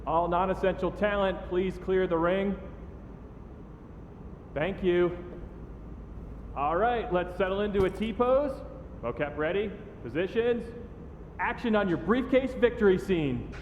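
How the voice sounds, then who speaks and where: A man playing a polite, brisk motion-capture director in a video game, calling out instructions in an echoey, noisy hall. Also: high-pitched, lo-fi sound. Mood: businesslike. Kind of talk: video game cutscene acting.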